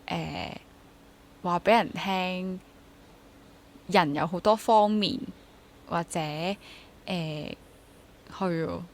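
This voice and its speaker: A woman's voice: fairly low pitch, drawn-out words, long pauses, a little hiss or hum. A young adult woman with a Hong Kong accent chats casually and reflectively as an interview guest, mixing in English words. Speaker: interview guest, theatre actor.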